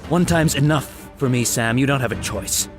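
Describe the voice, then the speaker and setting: A man playing a scornful, menacing pursuer in a visual novel game, with a taunting, forceful delivery. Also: medium pitch, normal speed, music in the background, natural voice. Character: menacing, scornful former friend turned pursuer.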